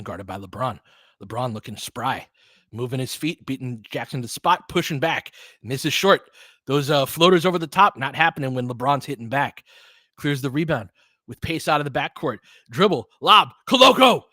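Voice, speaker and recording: A man gives casual live basketball commentary as an openly biased fan. His medium-pitched voice moves in lively swings between low asides and emphatic calls. Phrases are short and informal, and the sound is dry, with hardly any room to it. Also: breathy edge, no room sound. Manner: clipped phrases, informal, reacts emotionally to plays. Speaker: play-by-play commentator and host.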